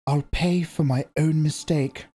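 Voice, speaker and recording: A man reading aloud and voicing a proud young mother who quietly holds back tears, with a strained manner. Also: fairly high pitch, fairly even intonation, measured pace, breathy edge, voice pushed up.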